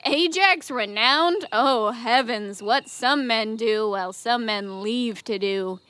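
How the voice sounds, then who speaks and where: A young woman plays a shrewd Greek commander in a Shakespearean audio drama. The voice is fairly high, and the verse is delivered in a deliberate, persuasive, rhetorical way. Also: fairly bright tone, smooth, dry recording. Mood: feigned wonder, goading. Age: young adult. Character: Ulysses, shrewd, wily Greek commander, a man.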